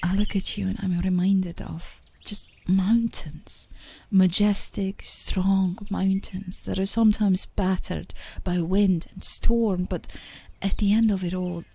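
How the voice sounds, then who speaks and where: A woman playing a tender, playful, adoring girlfriend in an intimate ASMR romantic roleplay, speaking softly and gently, often in a whisper. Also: mid-range voice, fairly even intonation, full character voice. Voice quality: soft, breathy, often whispering. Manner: gentle, affectionate, unhurried, giggly. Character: tender, playful, adoring girlfriend comforting her partner outdoors.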